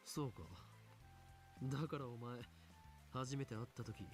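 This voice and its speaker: A man's voice: medium pitch, steady tone, a little fast, lightly acted. A male actor playing a pompous, theatrical young self-styled mad scientist in a Japanese visual novel. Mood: accusing. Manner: pompous, declamatory. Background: game music.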